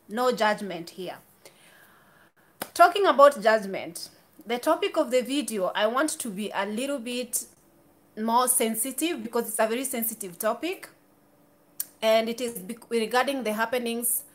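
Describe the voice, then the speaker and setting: A woman's voice: a little high, fairly bright tone. A woman in her thirties talking candidly to camera in a small room, chatty, with restarts and asides. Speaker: YouTuber. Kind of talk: casual talk to camera.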